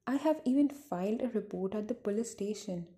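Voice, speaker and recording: A woman's voice: medium pitch, lively intonation, a little fast, warm tone, natural voice. A woman playing a worried, earnest niece burdened by a sad secret in an amateur radio drama. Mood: sorrowful. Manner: earnest, grave.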